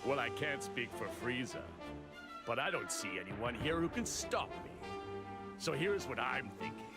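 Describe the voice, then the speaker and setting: A man's voice: mid-range voice, expressive, moderate pace, close to an everyday voice. A man playing an arrogant, menacing villain in an anime fighting game, sneering and self-assured. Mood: cocky, menacing. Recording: loud music behind the voice.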